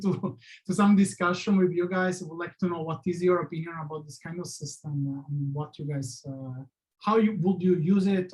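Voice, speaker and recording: A man's voice: a little high, poor audio. A man presenting his product in a webinar over a video call, talking in a loose, conversational way with hesitant restarts and non-native phrasing.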